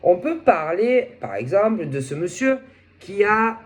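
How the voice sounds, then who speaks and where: A woman with a head cold and a sore throat, giving a casual tarot reading in a halting way, often searching for words. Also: medium pitch, big pitch swings. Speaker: tarot reader.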